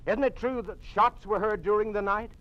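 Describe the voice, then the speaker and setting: A man's voice: high voice, fairly quick, dull audio, close to an everyday voice. An American man playing a middle-aged doctor in an old radio drama, defiant and accusatory in an emphatic delivery. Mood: defiant accusing. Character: indignant male doctor defiantly challenging communist officials. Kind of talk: 1950s radio drama acting.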